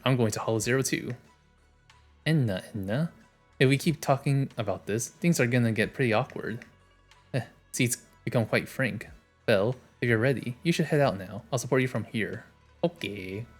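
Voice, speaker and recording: A man streaming a game, reading its dialogue aloud and adding casual commentary. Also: mid-range voice.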